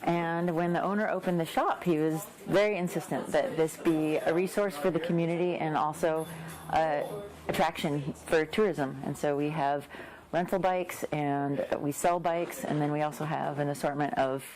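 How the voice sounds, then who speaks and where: Low-voiced woman interview guest. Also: darkish tone. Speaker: bike shop representative, interview guest. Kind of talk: conversational interview answers.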